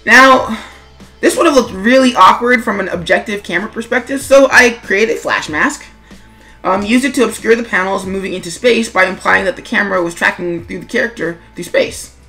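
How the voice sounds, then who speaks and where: A woman with a mid-pitched voice narrates her own creative commentary over background music. She is chatty and informal, slipping in wry asides and turning to the listener with rhetorical questions. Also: brisk, pushed and bright. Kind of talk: semi-scripted creator commentary.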